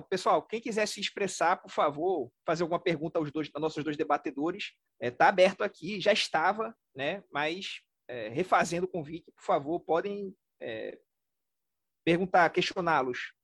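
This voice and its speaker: Brazilian man, lightly raised pitch, dry recording, casual moderator, hesitant improvised delivery. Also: lively intonation, moderate pace, a bit bright, no room sound. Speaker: debate moderator.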